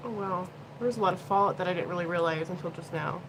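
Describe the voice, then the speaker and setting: A woman in her thirties chats loosely as she tries out makeup, wandering between thoughts and dropping casual swears. Her pitch sits in a middle range, and a steady hiss of background noise runs under the whole recording. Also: fast talker. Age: 30s. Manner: chatty, rambling, swears freely. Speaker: beauty livestream host. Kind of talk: casual chat while doing makeup.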